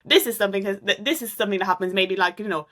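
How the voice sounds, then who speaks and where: Young woman scientist from Romania, chatty and rambling, bright voice. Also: medium pitch, quick pace. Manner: rambling, many fillers and restarts. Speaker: astrophysicist guest. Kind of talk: casual interview answers.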